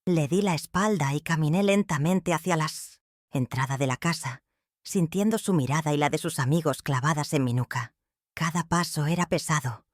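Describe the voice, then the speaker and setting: A woman reading a first-person dramatic story in a measured, somber way. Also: sits low, no room sound, clean studio sound.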